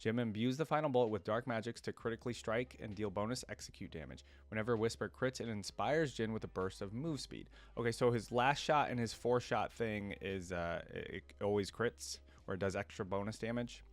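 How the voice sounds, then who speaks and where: A man's voice: fairly low pitch, brisk, hardly any pauses. A man hosting a reaction video, reading aloud and commenting casually in plain, conversational phrasing.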